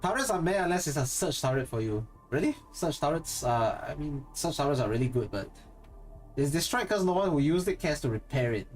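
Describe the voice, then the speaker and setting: A man's voice: mid-range voice, darkish tone. Rambling Singaporean man chatting on stream. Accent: Singaporean English. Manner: rambling, thinks aloud and corrects himself mid-sentence. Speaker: streamer and content creator. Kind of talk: casual live-stream chat with viewers.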